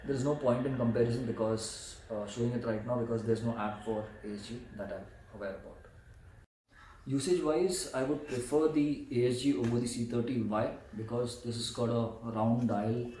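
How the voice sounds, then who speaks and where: A man's voice: medium pitch, fairly even intonation, moderate pace, dark tone. A man with an Indian accent talking casually through a product comparison, explaining things in a hesitant way. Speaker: vlogger reviewing motorcycle gear. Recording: echoey room with some background noise.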